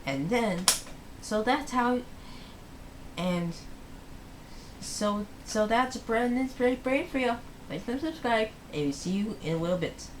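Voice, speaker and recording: A woman hosting a read-aloud, reading slowly for young children in the manner of a picture book. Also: a little high, expressive, noisy background.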